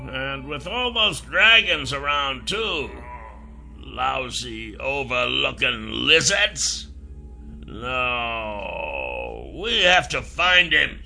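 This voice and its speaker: A man voicing a hard, threatening soldier with a gruff, barking manner. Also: mid-range voice, very animated, pushed and bright, faint music behind, pitched above the performer's own voice, exaggerated voice. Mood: menacing. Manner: barking, menacing, slow and deliberate.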